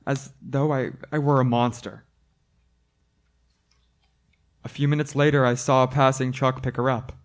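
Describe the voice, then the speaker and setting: A man's voice: mid-range voice, natural voice. A man playing a haunted, increasingly frantic cross-country driver in a radio drama, stammering and urgent as he speaks and narrates. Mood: lonely dread. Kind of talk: old-time radio drama acting with first-person narration.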